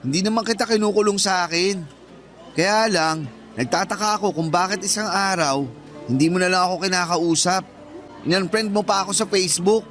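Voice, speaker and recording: A wounded young Filipino bagger in a radio drama. His voice is notably high for a man, and he presses his friend with insistent, accusing questions. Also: fairly quick, a bit bright, soft background music. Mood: hurt, accusing. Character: hurt, confrontational young male supermarket bagger, close friend.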